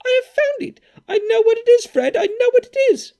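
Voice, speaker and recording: A giddy plump young woman, put on by a male reader, shrieks in a very high, shrill voice. The reading has a storybook feel. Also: animated, brisk, darkish tone, dry recording, clean studio sound. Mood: excited.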